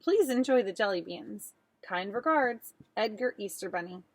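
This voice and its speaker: A woman's voice: mid-range voice, animated, normal speed, dry recording. A woman voicing a haughty, fussy male Easter bunny in a storybook, formal and reproachful. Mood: miffed, condescending.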